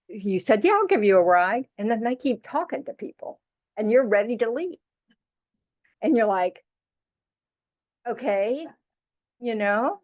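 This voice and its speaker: A woman teaching Buddhist practice to a small class in a chatty, frank way, often teasing her students and saying "you know". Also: medium pitch. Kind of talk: casual dharma teaching to a class. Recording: dull lo-fi class recording.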